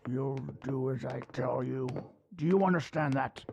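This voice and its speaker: An actor playing a cruel, domineering vampire brother in a horror radio play, with a gravelly, husky voice and a commanding, sneering manner. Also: male voice, medium pitch, a little slow, a little mellow, muffled recording, a little hiss or hum. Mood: menacing. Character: cruel, domineering undead vampire brother, menacing from his coffin. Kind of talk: old-time radio horror acting.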